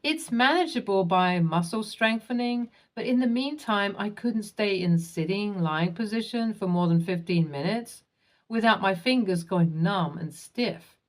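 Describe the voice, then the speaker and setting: A woman narrating online posts in a scripted, even reading that spells out acronyms letter by letter. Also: mid-range voice, measured pace.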